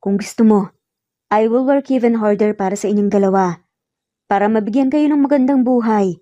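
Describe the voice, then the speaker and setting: A woman voicing an earnest, teasing young male engineer in an audio romance story, speaking gently and sincerely with bits of English mixed in. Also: fairly high pitch, normal speed, no echo, dull audio, natural voice. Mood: tender, sincere.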